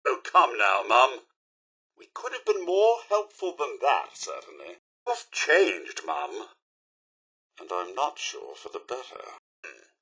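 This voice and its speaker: A man voicing a prim, fussy robot butler in a video game, with a British accent, a raspy voice and a polite, theatrical, sarcastic manner. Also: mid-range voice, big pitch swings, takes it slowly, sharp tone, heavily acted. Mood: disappointed. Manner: theatrical, polite, primly disapproving butler diction. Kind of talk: video game companion voice lines.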